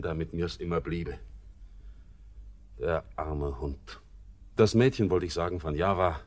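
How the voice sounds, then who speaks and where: Brooding, low-voiced seaman, slow and weighty. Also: darkish tone, heavily acted. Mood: brooding, scornful. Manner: theatrical, weighty verse declamation. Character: brooding, melancholy seaman, bitter and world-weary. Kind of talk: classic German radio drama acting.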